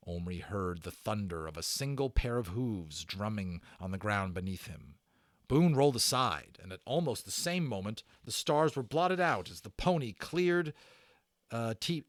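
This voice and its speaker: A man narrating a children's adventure novel aloud as a dramatic read-aloud, with theatrical emphasis and deliberate pauses. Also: mid-range voice, no echo, clean studio sound. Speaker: audiobook narrator and channel host.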